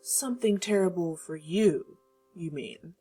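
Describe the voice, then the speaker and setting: A woman's voice: lowish voice, animated, measured pace, mellow, husky, faint music behind. A woman playing a haughty ancient vampire hostess in a fantasy audio drama, taunting in a playful, theatrical way. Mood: disdainful, threatening.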